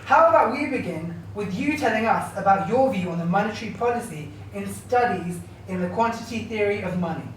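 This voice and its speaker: A woman's voice: medium pitch, animated, fairly quick, hardly any pauses. Female interviewer in a very echoey room. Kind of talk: scripted mock TV interview. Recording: very echoey room, distant mic, faint noise.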